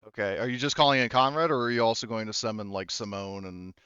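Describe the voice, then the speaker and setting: A man with a low, smooth voice works as a tabletop game master. He explains in a halting, conversational way, trailing into asides as he thinks aloud. The sound is close and dry, slightly dull on a lo-fi microphone. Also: bright, forceful tone, no echo.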